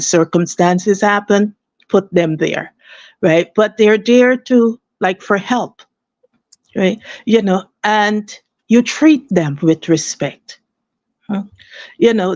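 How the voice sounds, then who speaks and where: A woman's voice: mid-range voice, a little mellow, no echo, dull audio. An older woman from Guyana, telling her story earnestly as a guest and often saying "you know" and "eh". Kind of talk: conversational storytelling.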